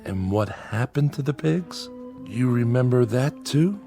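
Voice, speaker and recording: A man's voice: medium pitch, expressive, measured pace, dark tone, soft background music. A man playing a nervous farmer father with an American accent in a radio drama, folksy, hesitant and far too agreeable. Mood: fearful placating.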